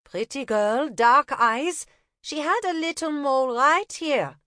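A woman's voice: sits high, animated, slow, bright, forceful tone, dry recording, clean studio sound, pitched above the performer's own voice, heavily acted. A woman doing a sly thief with a fake German accent. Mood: sly innocence. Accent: put-on German. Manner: sly, feigning innocence. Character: young woman thief disguised as a hunched rag picker.

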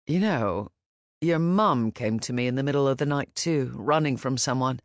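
A woman voicing a gentle, protective older man who speaks softly and calmly, with a firm edge. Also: medium pitch, moderate pace, no room sound, studio quality, natural voice. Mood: gentle, fatherly. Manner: soft, calm and fatherly.

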